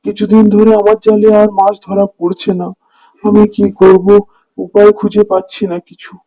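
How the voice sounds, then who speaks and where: A man's voice: high-pitched, flat delivery, moderate pace, mellow, soft background music. An actor voicing a poor, humble village fisherman and father in a cartoon, earnest and plaintive. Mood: troubled. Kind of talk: cartoon voice acting.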